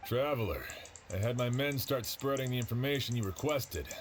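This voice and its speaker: A man playing an earnest male official in an anime-style video game, speaking in a measured way. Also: mid-range voice, lively intonation, very noisy, natural voice. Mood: earnest, puzzled. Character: earnest male official investigating a crime with helpers.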